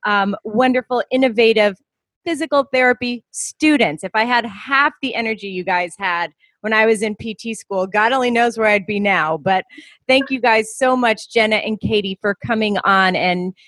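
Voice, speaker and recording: A woman's voice: a little high, normal speed, fairly bright tone, dry recording. A woman hosting a podcast interview in a conversational style.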